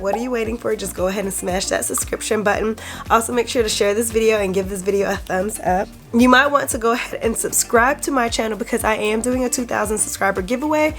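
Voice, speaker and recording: A woman in her twenties hosting a casual vlog, sounding congested from a cold and chatting in a rambling way with frequent ums. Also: medium pitch. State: congested, recovering from illness. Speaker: vlog host.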